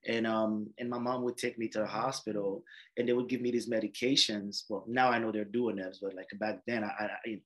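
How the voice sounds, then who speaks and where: A man's voice: lowish voice, flat delivery, fairly quick, a bit bright. A man, an emergency doctor telling his life story in a casual interview, rambling with many fillers and restarts. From Haiti. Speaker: emergency medicine doctor, guest.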